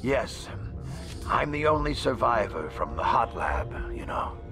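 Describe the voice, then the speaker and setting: Creepy shaken scientist survivor in a game, voice goes up and down a lot, music behind. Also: male voice, medium pitch, big pitch swings, measured pace. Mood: eerie, unsettled. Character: unsettled, eerie male lone lab survivor. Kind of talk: scripted video game dialogue.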